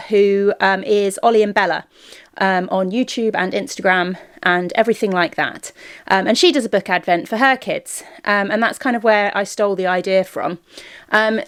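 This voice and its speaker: A middle-aged woman chatting casually to camera in an informal, rambling vlog style, often thinking aloud. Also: medium pitch. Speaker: vlogger and host of a daily family and craft vlog. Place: indoors at home. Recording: roomy, echoey indoor room.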